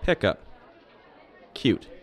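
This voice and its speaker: A man playing a calm, self-assured teenage hero in an audio drama, measured and dry. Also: a faint crowd. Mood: calm, firm.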